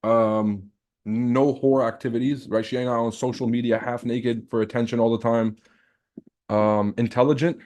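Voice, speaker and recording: Man, livestream host, from Lebanon, native Arabic and French speaker, mid-pitched voice, brash, sweary, casual slangy chat. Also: flat delivery, moderate pace, a little mellow. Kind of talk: casual chat with live viewers.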